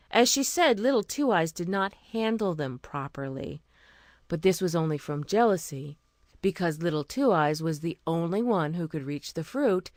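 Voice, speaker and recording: A woman narrating a scripted fairy tale as a storyteller, expressive and deliberate. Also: fairly low pitch, no echo, studio quality.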